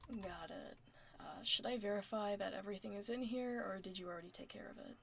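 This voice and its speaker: Woman acting a soft, creaky-voiced tomboy office admin. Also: medium pitch, fairly quick, a little hiss or hum. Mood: casual, businesslike. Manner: casual, teasing, reassuring. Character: tomboy werewolf office admin woman, laid-back, gruffly caring. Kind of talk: ASMR roleplay, soft casual office chat. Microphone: close binaural microphone.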